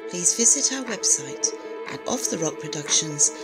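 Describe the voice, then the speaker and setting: Lowish-voiced woman announcer over music. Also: big pitch swings, normal speed. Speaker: closing credits announcer. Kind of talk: scripted announcement. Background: loud music.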